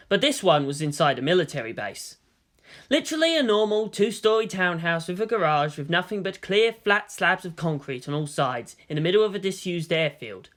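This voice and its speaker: Someone narrating a story, really animated reading, pitch moves around a lot. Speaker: audiobook-style reader of fan fiction. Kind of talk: expressive scripted narration.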